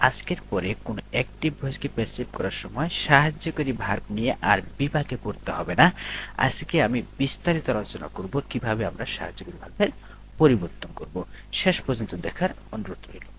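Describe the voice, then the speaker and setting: A man's voice: mid-range voice, sing-song, brisk, fairly bright tone. A man teaching a grammar lesson as a tutor, explaining step by step and repeating key points in an instructional way. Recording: noticeable background noise.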